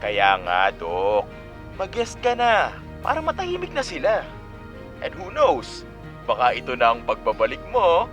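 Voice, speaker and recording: An actor playing a pushy, flattering showbiz agent who coaxes in Taglish in a Filipino radio drama. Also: male voice, medium pitch, a little slow. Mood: persuasive. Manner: effusive, coaxing, mixes English into Tagalog. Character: pushy, flattering male showbiz talent agent, gossipy and slick. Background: faint background music.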